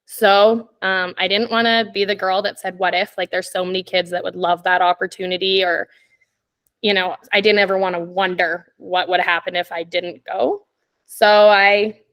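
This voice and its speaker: A woman in her twenties from Canada, a podcast guest telling stories in casual chat, laughing often and filling pauses with "like" and "um". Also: mid-range voice, fairly bright tone. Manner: chatty, laughs often, many "like" and "um" fillers.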